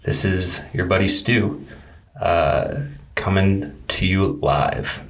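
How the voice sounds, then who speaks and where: A man's voice: sits low, slow, creaky, fairly bright tone. A young man hosting a podcast in casual chat, joking and laughing with his guest. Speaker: podcast host.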